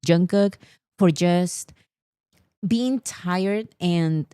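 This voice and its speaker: A woman's voice: on the low side, extremely slow, dark tone, smooth, dry recording, clean studio sound. A woman chatting casually as a livestream host, playful and prone to giggling. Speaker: host of a pop culture livestream. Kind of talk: casual chat.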